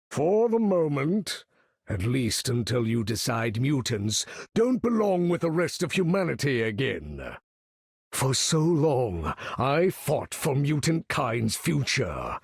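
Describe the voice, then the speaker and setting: A male actor playing a proud aging mutant leader in a video game, with a gravelly, husky voice and a grand, formal, commanding manner. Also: on the high side, dry recording, clean studio sound, heavily acted. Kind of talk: video game character voice acting.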